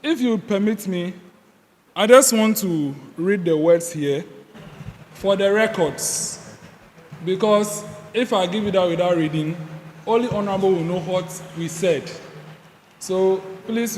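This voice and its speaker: High-voiced Ghanaian man in a reverberant hall. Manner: halting, with fillers and restarts. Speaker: youth parliament organizer. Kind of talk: ceremonial remarks and citation reading. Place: large hall. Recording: hall reverb, faint room noise.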